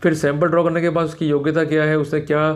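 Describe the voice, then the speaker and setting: An Indian man giving an explanatory legal lecture in a didactic manner, slowing down deliberately for quoted passages. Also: on the high side, flat delivery. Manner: didactic, repeats filler phrases, slows down for English quotations. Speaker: law lecturer. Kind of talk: explanatory legal lecture to camera, Hindi mixed with English.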